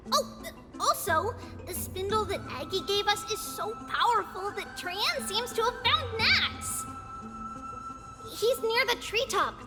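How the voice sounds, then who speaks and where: A woman voicing a tiny, cheerful, excitable childlike girl in a video game. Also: very high voice, slow, big-room sound, loud music behind the voice, exaggerated voice. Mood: excited, cheerful. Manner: excitable, refers to self by name.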